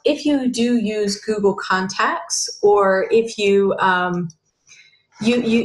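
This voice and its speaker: Halting woman in an echoey room. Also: medium pitch. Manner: halting, many fillers and restarts. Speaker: software tutorial presenter. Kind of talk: casual screen-share tutorial. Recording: roomy echo.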